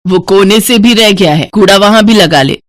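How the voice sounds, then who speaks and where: A woman's voice: mid-range voice, normal speed, natural voice. A woman voicing a suspicious, scolding older mother-in-law from a village in a moral story cartoon. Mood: nosy, bossy. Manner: scolding, blunt.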